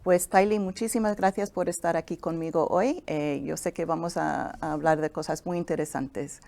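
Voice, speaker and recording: A woman's voice: low voice, steady tone, normal speed, creaky, mellow. A middle-aged woman hosting an interview, asking encouraging questions with small hesitations. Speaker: interviewer, deputy director of a nonprofit.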